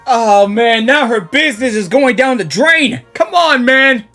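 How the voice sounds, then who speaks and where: A woman's voice: fairly high pitch, lively intonation, a little slow, fairly bright tone. A woman giving casual reaction commentary on a game, rambling and exclamatory as she repeats herself. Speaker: reaction video host. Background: game music.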